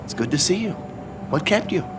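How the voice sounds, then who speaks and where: A man's voice: sits low, animated, fairly quick, gravelly, background noise. A man playing an affectionate, fussy old acquaintance in a video game, effusive and theatrical. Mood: delighted, affectionate. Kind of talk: expressive video game acting.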